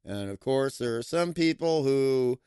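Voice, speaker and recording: Animated, slow-talking man reviewing films. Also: mid-range voice, smooth, dry recording, clean studio sound. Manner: playful, animated, drawn-out emphasis. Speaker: movie reviewer. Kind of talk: casual enthusiastic film review.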